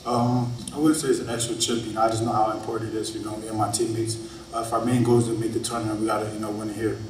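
A man in his early twenties, a college athlete, giving measured, plain-spoken answers at a press conference. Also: fairly low pitch, level tone, darkish tone. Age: early 20s. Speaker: college basketball player. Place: press conference room, indoors. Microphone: table microphone on stand. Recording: echoey room, distant mic, clear noise.

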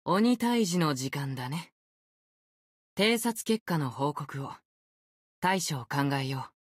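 A man's voice: a little high, lively intonation, slow pace, breathy edge, no echo, clean studio sound, exaggerated voice. A man voicing an easygoing, unfazed ancient sword spirit in youthful male form for a game, with languid, nonchalant phrasing. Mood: composed. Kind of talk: Japanese game character voice acting.

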